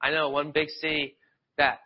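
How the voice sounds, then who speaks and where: A man from near Philadelphia preaches in a loose, chatty way and often restarts his sentences. His voice sits a little high and he sniffles from a cold. The recording is dull and lo-fi. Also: little pitch movement, moderate pace. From Pennsylvania, near Philadelphia. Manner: conversational, loose storytelling with restarts. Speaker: lay preacher. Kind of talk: informal sermon. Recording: dull lo-fi sound.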